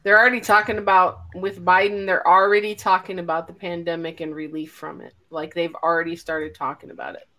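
A woman's voice: fairly low pitch, flat delivery, fairly quick, few pauses, sharp tone. Female co-host, brash and foul-mouthed, teasing in group chat. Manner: brash, profane, joking. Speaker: podcast co-host. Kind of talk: casual group banter.

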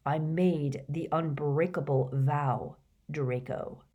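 A woman voicing a cold, menacing male schoolmaster who speaks low, quiet and curt. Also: fairly even intonation, takes it slowly.

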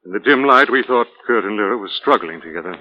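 Gentleman sleuth: fairly low, measured, matter-of-fact, 1940s radio drama on a slightly muffled old recording. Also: expressive. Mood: composed, explanatory. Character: calm, reasoning gentleman detective explaining a murder case.

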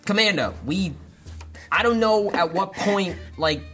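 Excitable player who rambles through the story in a mid-pitched voice. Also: measured pace, breathy edge, faint music behind. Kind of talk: tabletop roleplay in character.

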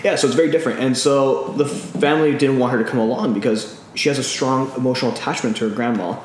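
A man's voice: mid-range voice, fairly even intonation, fast. A man co-hosting a casual podcast, retelling a film at length with lots of ums and restarts. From California. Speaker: podcast co-host. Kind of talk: casual chat. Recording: very echoey room with faint noise.

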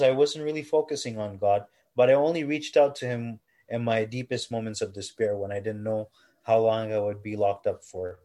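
A man in his twenties from Canada, earnestly and thoughtfully telling his own story in an interview, at times pausing to correct himself. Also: mid-range voice, darkish tone. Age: 20s. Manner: earnest, measured, self-correcting. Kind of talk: reflective personal testimony.

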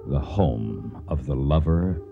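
A man's voice: a bass voice, lively intonation, slow pace, narrow, tinny audio, over loud music, exaggerated voice. An actor playing a weary male archaeologist in a radio drama, recounting a tragic dig. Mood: solemn, haunted. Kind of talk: radio drama acting with first-person narration.

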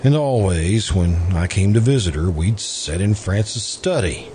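Casting: male actor for wistful, hesitant suitor and narrator, radio drama, deep-leaning voice, lively intonation. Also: unhurried, slight background noise. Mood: wistful, reflective. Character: earnest man in love with best friend's widow. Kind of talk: old-time radio drama acting, narrating.

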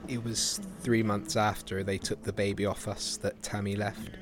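An actor playing a heartbroken, resigned young homeless drug user in an audio drama, speaking in weary, understated broken phrases. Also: male voice, lowish voice, level tone, normal speed, crowd noise, natural voice. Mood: quiet heartbreak. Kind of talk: intimate audio-drama monologue. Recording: music behind the voice.

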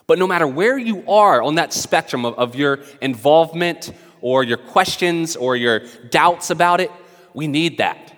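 A man from North Carolina with a Southern accent, preaching casually to his church while raspy from a cold. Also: fairly high pitch, expressive, normal speed, a bit bright, long reverb tail. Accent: Southern American. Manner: casual, conversational preaching with frequent restarts. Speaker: pastor. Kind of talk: sermon. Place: church service in a hall.

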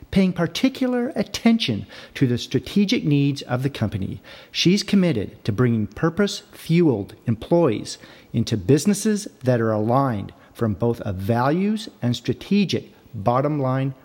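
A man's voice: medium pitch, breathy edge. A man hosting a podcast, reading a sponsor ad and a guest introduction, then asking interview questions. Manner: scripted and even when reading, plain and direct when asking. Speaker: podcast host.

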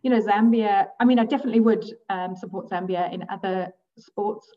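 A woman's voice: mid-range voice, fairly quick, slight background noise. Distant, muffled woman. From grew up in South Africa. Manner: hesitant, many ums, laughs easily. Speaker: guest, bat conservationist. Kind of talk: casual interview chat.

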